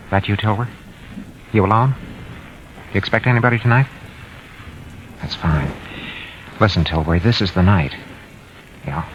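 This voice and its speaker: A man's voice: sits low, highs cut off, slight background noise, close to an everyday voice. An American man playing a scheming, coldly controlled husband in a 1940s radio suspense drama, terse and domineering. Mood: conspiratorial. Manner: terse, hard-boiled, domineering. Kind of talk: 1940s radio suspense acting, noir narration. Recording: vintage radio drama under loud music and rain.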